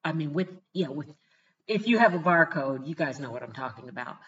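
A woman's voice: sits low, a little fast, muffled recording, slight background noise. An older woman telling a personal story in a casual, chatty self-help vlog, often laughing as she talks. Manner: chatty, laughs easily, animated retelling.

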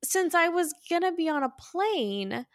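A woman's voice: extremely high pitch, sing-song, unhurried, no room sound, clean studio sound. A woman co-hosting a podcast in casual, chatty conversation, with a playful and candid way of talking. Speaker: podcast co-host.

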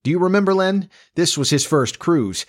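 Storybook farm lad, 19, male reader playing him, lifted pitch, eager, boyish, folksy, full of wonder. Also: expressive, normal speed, natural voice. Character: eager, starry-eyed nineteen-year-old farm boy.

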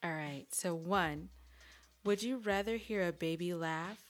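A woman's voice: fairly low pitch, a little slow. A woman from California with an American accent, hosting a casual podcast with playful, teasing banter. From California, United States. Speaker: podcast host asking questions.

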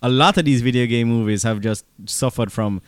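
Male podcast host, mid-range pitch, crisp and closely recorded, relaxed conversational delivery. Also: fairly quick, dry recording, studio quality. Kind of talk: casual movie podcast chat.

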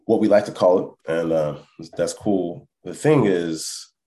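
A man being interviewed casually on a podcast as a musician, thinking aloud haltingly and often checking that the listener follows. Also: low voice, mellow. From born in France, lived in Canada and Texas, Congolese family. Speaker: hip hop musician, interview guest.

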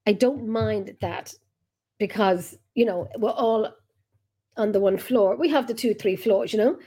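Chatty Irish woman with a mellow voice. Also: mid-range voice, measured pace, husky. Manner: chatty, rambling, self-interrupting storyteller. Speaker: podcast host and paranormal investigator. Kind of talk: casual chat over video call. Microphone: video call.

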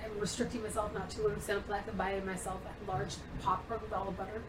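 A young woman comedian telling stories in a casual chat, animated and prone to tangents, acting out what people said. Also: mid-range voice, fast talker, recorded from a distance. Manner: animated, acts out quotes, goes off on tangents. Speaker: comedian and actress guest. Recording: roomy echo, off-mic, faint noise.

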